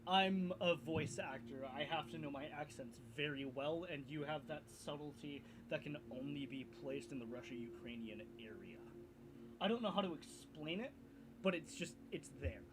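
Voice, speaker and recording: A man's voice: on the high side, normal speed, noisy background. A man who is a streamer and voice actor, talking casually and reacting animatedly to his chat.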